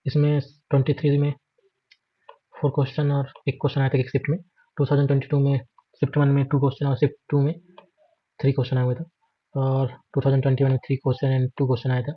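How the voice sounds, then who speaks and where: A man's voice: mid-range voice, no echo, lo-fi sound. An Indian man giving an informal, matter-of-fact exam prep walkthrough, listing items repetitively and mixing in English terms. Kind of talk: informal screen walkthrough lesson.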